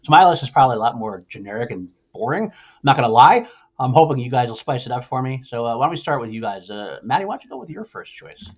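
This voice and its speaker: A man's voice: mid-range voice, sing-song, fast talker, slightly rough. A man hosting a casual pop culture podcast, speaking informally and bluntly with his opinions, on muffled audio. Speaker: podcast host.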